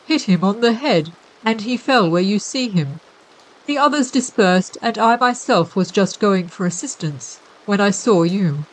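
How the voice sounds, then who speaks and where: A woman's voice: mid-range voice, animated, a little slow, low-quality recording. A woman voicing a respectable male paper creature come to life, speaking with a nasal, stuffed-up sound, odd R's and formal politeness. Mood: polite. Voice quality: nasal, as if from a heavy cold.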